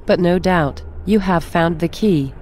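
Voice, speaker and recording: Woman acting mellow, haunting gothic storyteller. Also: lowish voice, unhurried, slight background noise, lightly acted. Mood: ominous. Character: eerie, haunting woman, knowing and wistful. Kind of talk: gothic horror audio drama, scripted poetic narration. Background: dark horror music soundtrack.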